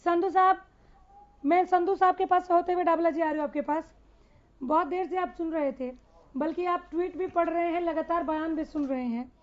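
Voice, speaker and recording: A woman's voice: very high-pitched, fairly even intonation, normal speed. A woman news anchor moderating a live television panel debate. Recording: dull, band-limited broadcast audio.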